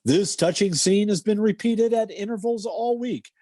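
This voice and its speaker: A man's voice: sits high, normal speed, dark tone, no echo, studio quality. A man announcing an old-time radio comedy show in scripted narration. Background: music.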